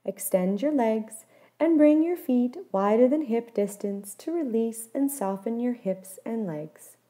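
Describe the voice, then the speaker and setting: A woman with a fairly high, very mellow, relaxed voice guides a meditation. She gives each instruction gently and in measured phrases, softening at the ends. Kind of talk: guided body scan meditation.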